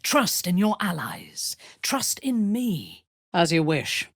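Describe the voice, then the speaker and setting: A ruthless female dark-elf warrior for a fantasy video game. Her voice is low and breathy, swinging widely in pitch as she gives formal, imperious speeches in a dry, close recording. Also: huge pitch swings, no echo, heavily acted. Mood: low, intimate. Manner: imperious, measured, menacing, formal diction. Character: ruthless, commanding female dark-elf warrior priestess, coldly devoted lover. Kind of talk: video game character dialogue.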